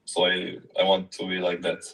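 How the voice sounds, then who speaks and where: A man's voice: sits low, monotone, normal speed, a bit bright, reverberant, far from the mic, a little hiss or hum, low-quality recording. A man from Slovenia, speaking English as a second language, hesitantly introducing himself with many fillers. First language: not English. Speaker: workshop participant.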